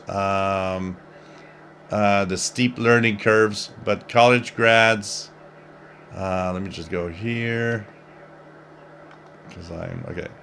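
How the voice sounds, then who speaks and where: A man's voice: lowish voice, a bit bright, a little hiss or hum. A man in his fifties hosting a shortwave radio livestream, chatting casually in a rambling, jokey way as he reads out viewer comments. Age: 50s. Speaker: host of a live shortwave radio stream.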